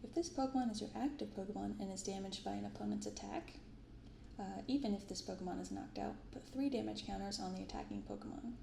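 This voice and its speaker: A soft-spoken woman hosts in a gentle ASMR style, with casual, unhurried commentary. Her voice is mellow with a creaky fry in it. The room has an audible echo around her. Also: mid-range voice, a little hiss or hum. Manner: gentle, unhurried reading of card text. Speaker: host of a card-opening video. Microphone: binaural microphone.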